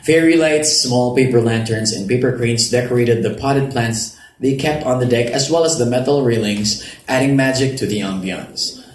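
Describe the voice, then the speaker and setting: A man's voice: mid-range voice, moderate pace. Man reading romance fiction in an echoey venue. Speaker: narrator reading a novel excerpt. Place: roomy event venue at a public reading. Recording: echoey live venue, audible background noise.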